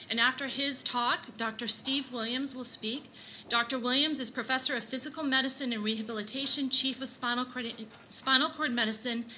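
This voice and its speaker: A woman's voice: fairly high pitch, sharp tone, slight background noise. A woman in her thirties, an event organizer, reading formal speaker introductions from notes at a podium microphone in a hospital conference room. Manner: reads formal bios steadily from notes.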